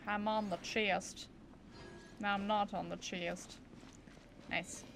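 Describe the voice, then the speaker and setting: A woman's voice: mid-range voice, fairly even intonation, slow, fairly bright tone. A woman streaming a video game, reacting casually in short, chatty remarks. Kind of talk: casual live gameplay reactions. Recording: streamed talk over clear game music.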